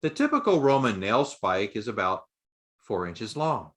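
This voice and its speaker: A man reading a story aloud as a narrator, with measured, deliberate phrasing and dramatic pauses. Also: lowish voice, very animated. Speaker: audiobook narrator.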